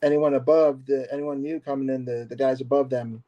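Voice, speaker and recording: A man's voice: mid-range voice, steady tone, fairly quick, dull audio. A man, a martial arts instructor, chatting as a podcast guest in a hesitant, easygoing way, often laughing. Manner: hesitant, many fillers and restarts, laughs easily. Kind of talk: casual podcast conversation.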